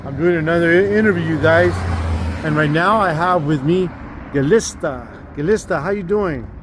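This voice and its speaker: A man's voice: on the high side, normal speed. A man from Dallas hosting a live street interview, asking simple friendly questions with many fillers. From Dallas, Texas. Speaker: street interviewer, channel host. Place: outdoors by a busy road. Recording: heavy traffic noise outdoors.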